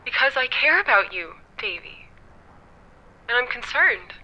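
An actress playing a gentle, guilty young ex-girlfriend on a telephone, soft-mannered, apologetic and halting, in a naturalistic audio drama. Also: a little high, very animated. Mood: earnest, concerned. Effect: telephone filter. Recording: thin, band-limited telephone line.

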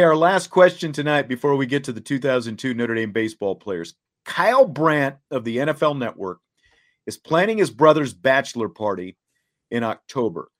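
A man hosts a sports talk show in a casual, conversational way. His voice sits at an average male pitch and he talks as in an easy chat about games.

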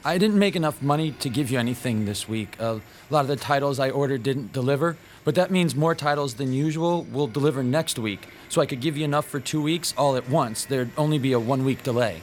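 An actor playing a timid, bullied shop owner in an audio drama, hesitant, defensive and pleading. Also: male voice, medium pitch, fairly quick, hardly any pauses, slight background noise, lightly acted. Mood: nervous, hesitant. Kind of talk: modern audio drama acting.

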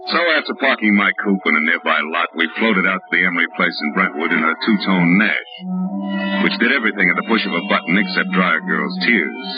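An actor playing a tough, wisecracking private detective in a 1940s radio drama, with wry, slangy delivery. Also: male voice, low voice, brisk, close to an everyday voice. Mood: wry narrating. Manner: wry, slangy, hard-boiled. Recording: muffled old radio recording with music.

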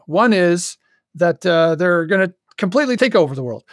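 Man, high-pitched voice, podcast guest, casual halting talk, wryly humorous, dry recording. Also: no echo. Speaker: podcast guest, audio drama creator. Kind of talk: casual animated interview chat.

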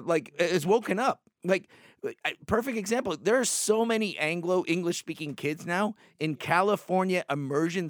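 A man's voice: high voice, no room sound, studio quality. A man from Puerto Rico, a native Spanish speaker, arguing emphatically on a panel with many restarts and "like"s. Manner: animated, emphatic, lots of "like" and restarts. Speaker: panel guest. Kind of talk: panel discussion.

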